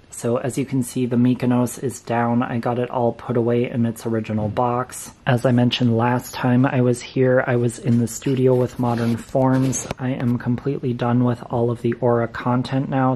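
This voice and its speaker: A man's voice: medium pitch, warm tone. A man giving casual, matter-of-fact updates in a vlog about his collection. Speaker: vlogger showing a fan collection. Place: basement display room with exposed joists.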